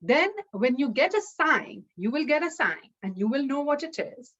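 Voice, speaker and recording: A woman's voice: high voice, very animated, moderate pace, a bit bright, dull audio, voice pushed up. A woman voicing a kind, wealthy, confident young man who reassures and instructs in an oral folktale. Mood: confident, instructing.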